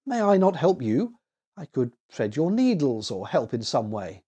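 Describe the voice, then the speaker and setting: A man voicing an excited, wonderstruck little girl who gushes and exclaims in a children's storybook. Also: medium pitch, a little slow, breathy edge. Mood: eager, polite. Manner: gushing, exclamatory.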